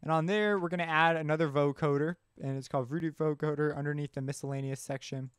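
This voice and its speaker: Male tutorial host, slightly high, clean voice, informal think-aloud delivery. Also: steady tone, fast talker, clear, resonant tone, dry recording, clean studio sound. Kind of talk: casual software tutorial narration. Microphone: close mic screencast voice-over.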